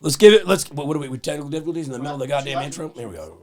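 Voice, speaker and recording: A man hosting a podcast, joking crudely and loudly with his guests and reading sponsor plugs. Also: fairly high pitch, racing, a crowd in the background. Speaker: podcast host. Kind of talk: crude casual podcast banter.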